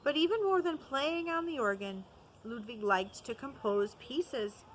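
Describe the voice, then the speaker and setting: A woman teacher reading a children's chapter book aloud in a clear, steady storytelling manner. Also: high-pitched, measured pace, slight background noise, low-quality recording. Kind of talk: storybook read-aloud narration.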